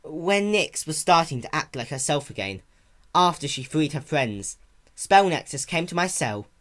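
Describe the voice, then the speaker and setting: A performer voicing a caring female pony in a cartoon-based story reading, soft, patient and reassuring like a big sister. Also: mid-range voice, big pitch swings, normal speed, pitched above the performer's own voice. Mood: guilty.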